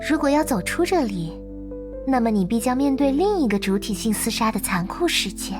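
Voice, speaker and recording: A woman playing a mysterious, devoted girl in a visual novel game, speaking in a soft, earnest, coaxing manner. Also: a little high, lively intonation, a little fast, music in the background, natural voice. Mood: solemn, devoted. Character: Lilith, mysterious devoted girl from beyond the world.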